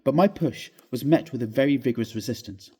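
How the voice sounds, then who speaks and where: A man giving a dramatic, expressive reading of a Gothic ghost story, with deliberate phrasing and a husky voice. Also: medium pitch.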